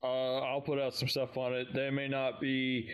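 A man's voice: medium pitch, monotone, moderate pace, clean tone, rough recording. A man co-hosting a fan podcast, chatting in a slangy, informal way. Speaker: podcast co-host.

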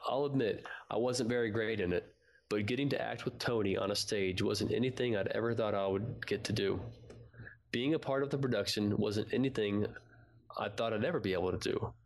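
A man's voice: fairly low pitch, a little fast, gravelly. A man co-hosting a fan podcast, chatting casually and at times reading aloud. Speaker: podcast co-host.

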